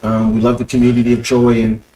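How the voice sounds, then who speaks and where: A man's voice: mid-range voice, monotone, fast, dark tone, low-quality recording. A man born in Jamaica, a guest on a talk show, speaking earnestly about his community. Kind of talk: casual interview talk.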